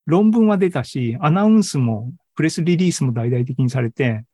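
A man's voice: fairly high pitch, animated, normal speed, warm tone, husky, no room sound. A man giving an informal tech presentation in an online session, talking conversationally with frequent little tags and asides. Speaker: presenter at an AI hobby forum and podcast host. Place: online Zoom live session.

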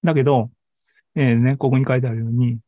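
A man's voice: mid-range voice, fairly even intonation, fast talker, mellow, dry recording. A man giving an informal tech presentation in an online session, talking conversationally with frequent little tags and asides. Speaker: presenter at an AI hobby forum and podcast host. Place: online Zoom live session.

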